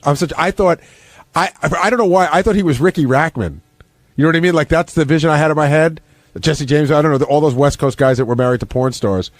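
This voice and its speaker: Fast-talking man, casual radio chat. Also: fairly high pitch, no room sound. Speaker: radio show staffer and commentator.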